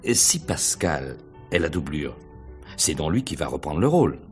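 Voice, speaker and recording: A probing radio-mystery detective with a very low voice. He swings through animated intonation as he slides insinuating questions in, wry and knowing, with music behind him. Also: moderate pace. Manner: insinuating questions, hesitant repetitions, knowing chuckles. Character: probing, wry male police investigator, middle-aged. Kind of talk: 1950s French radio mystery acting.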